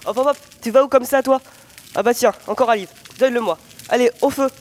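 A man's voice: very high-pitched, brisk. A teenage boy playing an eager, simple village barber in a student audio drama. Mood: brisk. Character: eager, unlettered village barber, priest's helper. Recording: echoey hall, faint noise.

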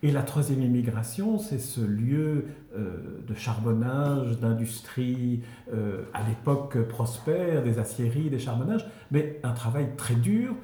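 A man interviewing a guest, asking measured, deliberate questions. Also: mid-range voice, dark tone, reverberant. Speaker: radio interviewer. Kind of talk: radio interview.

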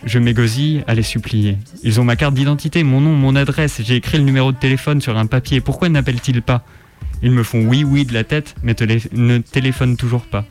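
A man's voice: mid-range voice, steady tone, a little fast, a little mellow. A man reading a first-person story as dramatic scripted narration. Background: music.

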